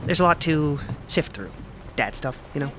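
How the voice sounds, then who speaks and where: Male actor as breezy, guarded young guy, wry casual drama dialogue, lively pitch, clear background noise. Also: moderate pace, lightly acted. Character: Milo, breezy, guarded young man fresh from rehab. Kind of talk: naturalistic audio drama acting.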